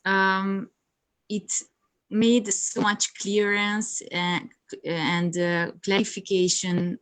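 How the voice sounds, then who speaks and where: A woman's voice: mid-range voice, little pitch movement, very slow, fairly bright tone, clean tone, poor audio. A woman whose first language is Hungarian, chatting in an interview about her yoga teaching, hesitant with many fillers and frequent laughs, on a video call. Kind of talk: casual interview chat.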